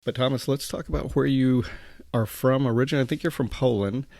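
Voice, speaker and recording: A man's voice: mid-range voice, fairly even intonation, quick pace, vocal fry, darkish tone, no echo, studio quality. A man hosting a podcast, reading a scripted intro and then chatting with his guest in a friendly way with fillers. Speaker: podcast host.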